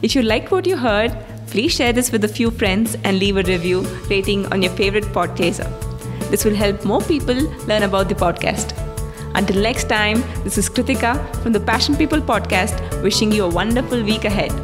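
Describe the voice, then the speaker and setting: A woman's voice: mid-range voice, fairly quick. A woman reading a scripted podcast outro as the host. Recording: long reverb under clear music. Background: music.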